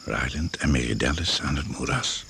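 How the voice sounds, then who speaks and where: Grave radio-play narrator: rock-bottom gravelly voice, unhurried, noisy old recording. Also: male voice, extremely low pitch, bright, forceful tone, heavily acted. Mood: grave, atmospheric. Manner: slow, measured, clipped descriptive phrases. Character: grave, slow male narrator of a jungle survival drama. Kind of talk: scripted radio drama narration.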